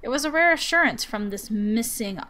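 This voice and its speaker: A woman reading a visual novel aloud as expressive first-person story narration. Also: on the high side, normal speed. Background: music.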